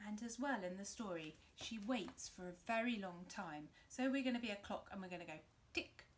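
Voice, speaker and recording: A woman reading a picture book to young children, expressive and demonstrative, in simple interactive phrases. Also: mid-range voice, brisk, a little hiss or hum. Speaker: children's storyteller.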